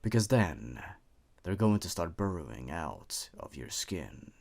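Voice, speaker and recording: Male horror narrator, hushed and low, lively pitch. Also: measured pace, gravelly. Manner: hushed, low second-person storytelling.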